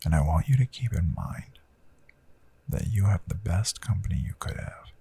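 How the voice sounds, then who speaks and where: A man voicing a gentle, reassuring hypnotist in a hushed, breathy guided meditation that often slips toward a whisper, with long deliberate pauses. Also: low voice, lively intonation, exaggerated voice. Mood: hushed, intimate. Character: gentle male hypnotist Count, calm and reassuring. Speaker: hypnotist, audio host.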